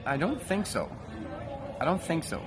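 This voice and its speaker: A man's voice: fairly high pitch, wildly swooping pitch, normal speed. A man with a light Quebec French accent, chatting casually on a live stream, rambling and correcting himself. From French Canada. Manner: rambling, many restarts and self-corrections. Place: busy city street outdoors. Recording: loud street crowd and traffic.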